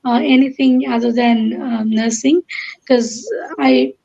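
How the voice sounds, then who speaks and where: High, creaky Malayali Indian woman's voice, muffled. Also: steady tone, slow, mellow. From Kerala, India. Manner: hesitant, piecing thoughts together in short phrases. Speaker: interview guest. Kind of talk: unscripted personal account. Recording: dull lo-fi online call.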